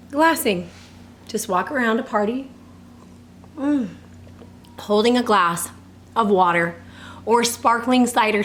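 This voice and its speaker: A woman in her thirties with a high, slightly hoarse voice, speaking to the camera as a fitness coach. She is upbeat and chatty. Her casual tips come with small laughs and quick self-corrections. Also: a bit bright.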